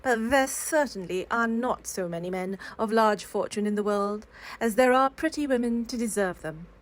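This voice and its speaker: A woman reading classic literary fiction aloud in a calm, cozy storytelling style. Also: a little high, moderate pace. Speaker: reader of classic novels aloud.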